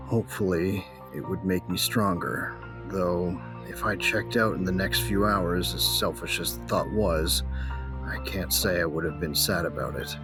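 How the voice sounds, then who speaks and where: A man's voice: sits low, close to an everyday voice. A man playing a grieving, embittered bounty hunter who narrates his own story in an audio drama, brooding and terse.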